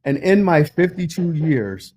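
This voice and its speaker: High-voiced fifty-something man, earnest and emphatic. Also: very animated, unhurried, a little mellow, a little hiss or hum. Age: 50s. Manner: earnest, emphatic, preaching cadence. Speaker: guest on a livestream. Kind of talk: impassioned political livestream talk.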